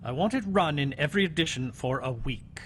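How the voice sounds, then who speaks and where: An actor playing a dry, methodical police inspector in a period radio crime drama, deliberate and authoritative. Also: male voice, fairly high pitch, animated, slight background noise, lightly acted.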